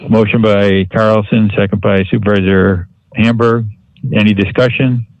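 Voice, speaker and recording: A man chairing a county board meeting in formal procedural language, with a somewhat halting delivery. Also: on the low side, flat delivery, darkish tone, no echo, highs cut off.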